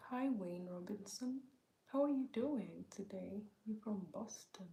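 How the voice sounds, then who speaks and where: A woman from Uganda hosting a casual livestream, speaking softly and often near a whisper as she greets viewers by name and reads their comments. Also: mid-range voice, measured pace, creaky, echoey room, poor audio. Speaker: livestream host.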